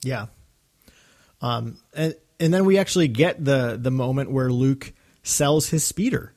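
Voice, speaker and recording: A man's voice: medium pitch, unhurried, darkish tone. Man casually hosting a fan podcast. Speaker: podcast host. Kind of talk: casual fan discussion and recap.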